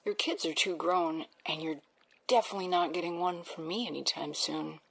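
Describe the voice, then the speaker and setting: A woman's voice: lowish voice, fairly even intonation, moderate pace, creaky, slightly rough, low-quality recording. An actress playing a young female research assistant who questions plans in a dry, practical way. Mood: skeptical. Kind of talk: audio drama acting.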